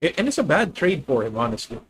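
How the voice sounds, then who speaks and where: Filipino-accented streamer thinking aloud. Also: male voice, fairly high pitch, big pitch swings, fast talker, husky. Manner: thinking aloud, self-correcting, addresses viewers. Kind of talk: live gameplay commentary.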